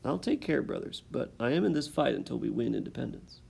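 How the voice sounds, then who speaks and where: A man's voice: mid-range voice, big pitch swings, a little fast, gravelly, dark tone, slightly rough, full character voice. A man voicing a brave, spirited young colonial girl in a raised, lighter voice, reading a storybook aloud. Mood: eager.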